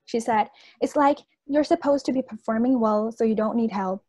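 A young woman whose first language is Chinese delivers a persuasive student speech. Her voice sits a little high yet is soft and mellow rather than sharp, and she addresses her listeners earnestly. The sound is close and dry. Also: moderate pace, dry recording. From China. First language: Chinese (Hainanese and Mandarin). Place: indoors on a video call.

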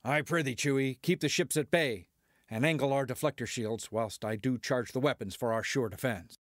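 An actor playing a cocky, boastful starship pilot, swaggering through archaic verse in an audio drama. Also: male voice, medium pitch, moderate pace, no room sound, studio quality, natural voice. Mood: urgent, commanding.

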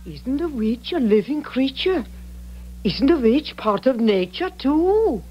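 A woman's voice: sits high, big pitch swings, takes it slowly, full character voice. An actress playing an emotional, headstrong woman in a 1970s radio drama. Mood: defiant, insistent. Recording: muffled old radio recording, faint noise.

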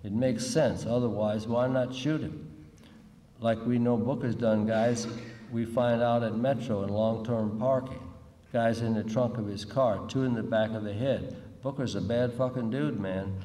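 A man's voice: medium pitch, steady tone, unhurried, dark tone, natural voice. A man reading aloud as a young, scruffy narcotics cop, cynical and hard-boiled. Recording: echoey hall, distant mic, faint noise.

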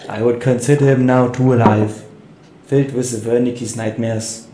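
A man voicing a creepy, injured executive asylum inmate, halting and repetitive in an amateur game mod. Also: mid-range voice, fairly even intonation, reverberant, noisy background, close to an everyday voice. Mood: slow, ominous.

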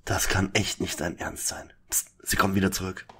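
A man's voice: low voice, level tone, moderate pace, pushed and bright, in a whisper. A man playing a skeptical, sarcastic young detective in a radio play parody. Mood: hushed, scornful.

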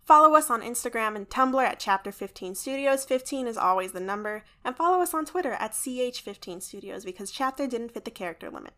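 A woman's voice: fairly high pitch, animated, fast, hardly any pauses, fairly bright tone. A woman announcing for a podcast in a chatty, promotional style, playful and informal.

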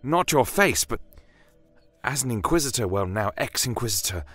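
A man's voice: mid-range voice, expressive, normal speed, fairly bright tone, no echo, soft background music, voice pushed up. A man voicing a cautious, polite male companion in a fantasy audio story, hesitant and stammering a little.

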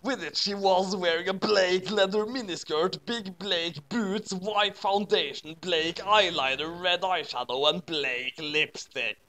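A man's voice: high voice, a little slow, hardly any pauses, pushed and bright. A man narrating fan fiction as a comedic dramatic reading, with a mock-serious manner. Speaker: story narrator.

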